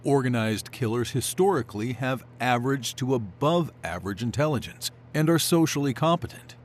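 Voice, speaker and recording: A composed academic expert, played by a man, speaks in a mid-pitched male voice. He lectures clinically, as in an interview for an audio drama podcast, with a faint hum of noise behind him. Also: close to an everyday voice.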